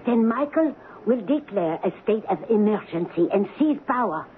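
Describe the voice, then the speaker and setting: A woman's voice: mid-range voice, expressive, moderate pace, narrow, tinny audio, close to an everyday voice. A woman acting an anxious, secretive noblewoman in a 1970s radio drama, urgent and earnest. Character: anxious, secretive noblewoman mistress warning of murder. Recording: thin, noisy old radio broadcast.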